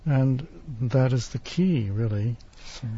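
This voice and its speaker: A man speaking as a guest on a discussion panel, halting often and filling pauses with "um". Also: mid-range voice, dark tone, slightly rough. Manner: halting, many ums. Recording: dull lo-fi broadcast recording.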